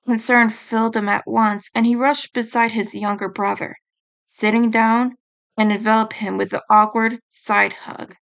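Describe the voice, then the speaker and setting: A woman's voice: fairly high pitch, level tone, measured pace, vocal fry, fairly bright tone. A woman reading a piece of fan fiction aloud as scripted story narration.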